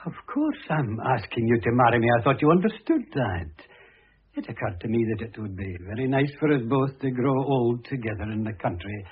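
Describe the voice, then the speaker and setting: Self-pitying husband, muffled radio sound. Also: male voice, medium pitch, big pitch swings, a little fast, breathy edge. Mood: complacent. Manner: pompous, complaining, long-suffering. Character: self-pitying, self-important middle-aged divorced husband. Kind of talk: radio drama acting. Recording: muffled lo-fi old radio recording.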